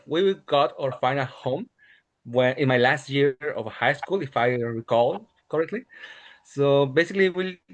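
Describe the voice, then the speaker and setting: A man of 31 with a Mexican accent and Spanish as his native language, answering casually as an interview guest. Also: on the high side. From Mexico City and State of Mexico, Mexico.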